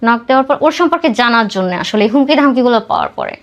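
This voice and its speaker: A woman's voice: fairly high pitch, expressive, fast talker, highs cut off. A woman with a Bangladeshi accent telling a personal life story as a podcast guest, dropping English words into her talk.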